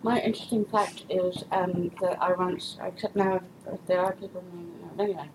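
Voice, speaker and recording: Meandering woman with a lowish voice. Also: fairly even intonation, moderate pace. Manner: meandering, with restarts. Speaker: association member. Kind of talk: round-the-table introduction. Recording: noisy meeting room.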